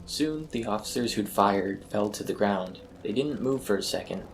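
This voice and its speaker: A man's voice: fairly low pitch, animated, moderate pace, slight background noise, poor audio. A man narrating a scripted first-person horror story as its storyteller. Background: eerie ambient music.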